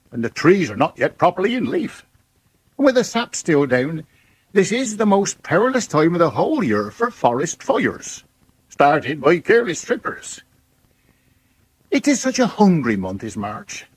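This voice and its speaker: Husky old countryman narrator. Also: a little high, no room sound, full character voice. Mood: wryly reflective. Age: older. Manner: rustic, ruminative. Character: old countryman musing on nature and village life. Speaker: narrator of a radio serial. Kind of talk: folksy scripted monologue.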